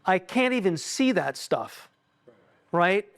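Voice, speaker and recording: Man with a fairly high voice, an instructor giving a hands-on technical demo, musing aloud and explaining in asides. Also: big pitch swings. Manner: halting, self-questioning, explanatory asides. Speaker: acoustics consultant leading a tuning workshop. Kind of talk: informal live technical demonstration, thinking out loud.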